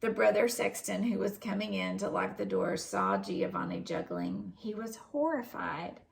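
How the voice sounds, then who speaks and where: A woman reading a children's story aloud as an expressive storyteller. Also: medium pitch, moderate pace, gravelly. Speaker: storybook reader. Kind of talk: scripted narration, children's story read aloud.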